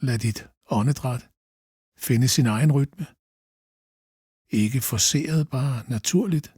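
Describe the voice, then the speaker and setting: A man guides a meditation slowly and calmly, giving gentle instructions with long pauses. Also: mid-range voice, breathy edge, no echo, clean studio sound.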